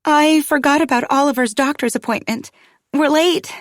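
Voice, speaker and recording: A woman's voice: medium pitch, expressive, moderate pace, no echo, clean studio sound, close to an everyday voice. A woman voicing an anxious, apologetic young mother with a flustered manner in an audiobook. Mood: panicked. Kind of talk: dramatized audiobook dialogue.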